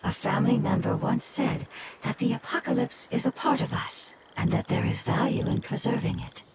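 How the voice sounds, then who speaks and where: A woman playing a wise, solemn mushroom spirit in a video game, speaking in a measured, formal, cryptic manner. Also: a little high, animated, heavy background noise, close to an everyday voice. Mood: grave, pondering. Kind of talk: anime-style video game voice acting. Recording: loud music behind the voice.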